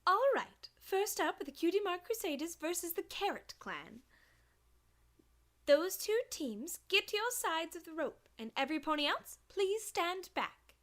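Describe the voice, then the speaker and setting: A woman's voice: very high voice, sing-song, a little slow, fairly bright tone, exaggerated voice. A woman voicing a prim, encouraging female cartoon pony giving instructions in an audio story. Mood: prim, instructive. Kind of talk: dramatized audiobook, cartoon acting.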